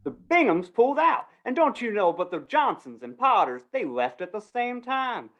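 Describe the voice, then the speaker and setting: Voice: mid-range voice, very animated, moderate pace, muffled recording, lightly acted. An actor playing a gossipy small-town undertaker and justice in an old-time western radio drama, with drawling rural talk. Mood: eager gossip.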